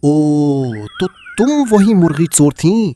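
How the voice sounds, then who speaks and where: A man's voice: fairly high pitch, very animated, warm tone, a little hiss or hum. A man voicing an angry, contemptuous village judge in a children's cartoon, accusing and scornful. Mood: scornful anger.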